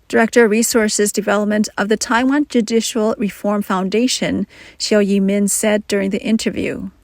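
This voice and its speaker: A woman narrating a news report in a measured, scripted reading. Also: mid-range voice, little pitch movement, creaky, no echo, clean studio sound.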